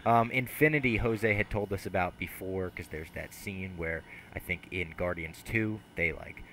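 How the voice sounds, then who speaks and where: Young guy hosting a pod on a headset, deep but bright, chill and laughy. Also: normal speed, slight background noise, poor audio. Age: young adult. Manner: laughs often, swears casually. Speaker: podcast host. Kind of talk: casual podcast chat. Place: small room with brick wall. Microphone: headset mic on video call.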